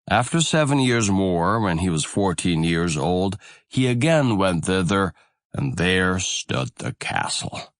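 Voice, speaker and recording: A man narrating a fairy tale audiobook in a deliberate, expressive storytelling manner. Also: low voice, gravelly, no echo, clean studio sound.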